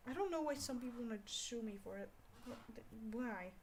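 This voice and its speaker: A young woman with a Scottish accent chatting casually on an art livestream, rambling and scolding herself out loud in exasperation. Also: on the high side, lively intonation, fairly quick, slight background noise. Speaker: art streamer. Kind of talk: casual livestream chat while drawing.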